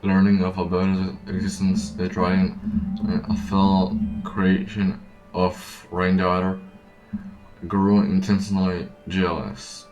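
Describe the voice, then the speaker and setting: A man streaming a game, reading story text aloud haltingly and repeating words as he stumbles. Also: low-pitched, completely flat delivery, darkish tone. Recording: echoey room, distant mic, game music.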